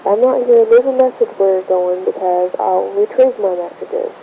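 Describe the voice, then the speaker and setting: Woman talking on an old answering machine, muffled, faint hiss and rumble. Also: normal speed, gravelly. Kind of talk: casual personal phone message. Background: tape hiss and rumble.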